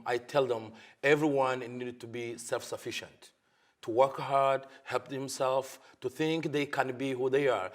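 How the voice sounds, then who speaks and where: A man from Africa, a pastor answering interview questions earnestly in halting, learned English. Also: medium pitch. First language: not English. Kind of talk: TV interview guest.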